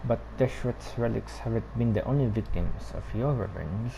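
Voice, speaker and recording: A man's voice: fairly low pitch, moderate pace, gravelly, background noise. A man casually commenting on a game, murmuring along and reading dialogue aloud. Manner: frequent murmured acknowledgements. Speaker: gamer commenting on gameplay. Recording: dull mic, game music behind.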